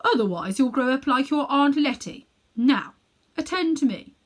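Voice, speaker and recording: A woman's voice: sits high, animated, normal speed, voice pushed up. A woman storyteller plays a pompous old uncle from a children's storybook. He is a cruel amateur magician with a smug, condescending manner. Every phrase is haughty and coolly superior. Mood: coolly scolding.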